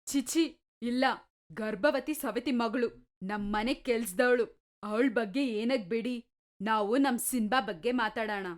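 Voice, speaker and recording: Greedy stepmother, voiced by a man, high falsetto, dismissive, sly, storybook. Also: slow. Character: greedy scheming middle-aged stepmother. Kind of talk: storybook narration.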